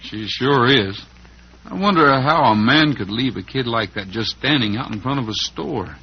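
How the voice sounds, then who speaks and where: An actor playing an easygoing, kindly cowboy hero with a Western accent in an old-time radio show. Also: male voice, mid-range voice, lively intonation, moderate pace, breathy edge. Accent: American Western. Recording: muffled, hissy old radio recording.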